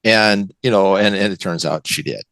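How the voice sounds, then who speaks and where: A man's voice: low-pitched, moderate pace, a bit bright, no room sound. An American man from the West Coast, an author chatting casually as an interview guest, telling anecdotes in a conversational way. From West Coast of the United States. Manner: conversational, anecdotal, checks in with "right?" and "you know".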